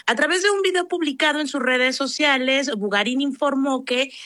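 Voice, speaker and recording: Female Mexican reporter, conversational, bright voice, dry close recording. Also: high-pitched, moderate pace, no room sound. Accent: Mexican Spanish. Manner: conversational report, colloquial touches. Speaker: field reporter. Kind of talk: news report from the field.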